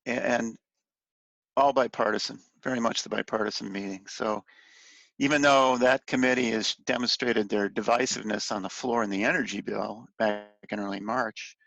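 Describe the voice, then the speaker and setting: A man giving an informal slide briefing as a policy advocate on a conference call, speaking haltingly and letting phrases trail off. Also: on the low side, creaky, fairly bright tone. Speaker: industry association policy advocate briefing on legislation. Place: conference call, roomy indoor space. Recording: muffled, roomy conference-call audio.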